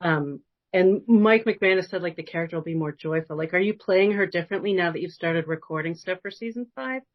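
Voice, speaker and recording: A woman's voice: lowish voice, a little fast, highs cut off. A woman hosting a podcast interview in a casual, conversational style.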